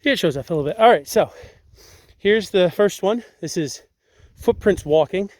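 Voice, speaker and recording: A young man with a slightly raised pitch talks outdoors into a handheld camera. His voice is a little raspy and congested. He explains things in a relaxed, rambling way, full of fillers and restarts. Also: moderate pace, dry recording. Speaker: tracking instructor. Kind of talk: casual outdoor demonstration. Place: outdoors on sandy riverbank.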